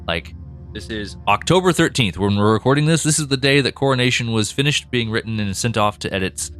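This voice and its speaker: A man's voice: medium pitch, expressive, a little fast, fairly bright tone, faint music behind. A man co-hosting a podcast and chatting casually, rambling with frequent restarts. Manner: rambling, restarts often, laughs easily. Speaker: co-host and writer.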